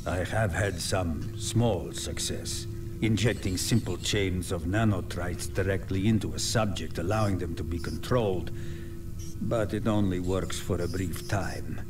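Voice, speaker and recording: Old eccentric game scientist, low n slow, halting mutters, darkly fascinated, music behind. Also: male voice. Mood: intrigued, grave. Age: older. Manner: halting, musing, muttering to himself. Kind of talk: dramatic video game character acting.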